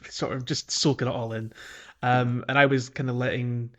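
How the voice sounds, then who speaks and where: Man, podcast co-host, casual gaming chat, jokey, chuckly, medium pitch. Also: level tone, moderate pace, fairly bright tone.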